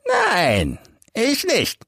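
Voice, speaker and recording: A man's voice: extremely high pitch, huge pitch swings, extremely slow, vocal fry, sharp tone, slightly rough, no echo, clean studio sound, voice pushed up, heavily acted. A man voicing an embittered old hunchbacked ex-soldier telling his story in an agitated, emphatic way for an audiobook. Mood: bitter, defiant.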